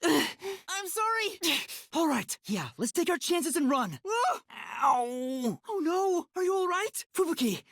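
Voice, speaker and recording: Timid young detective boy for a game, light breathy voice, jumps around in pitch, dry close sound. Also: very animated, takes it slowly, a bit bright, no room sound, exaggerated voice. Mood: urgent, flustered. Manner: earnest, boyish, hesitant. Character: timid, earnest, anxious young male detective. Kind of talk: English video game voice acting.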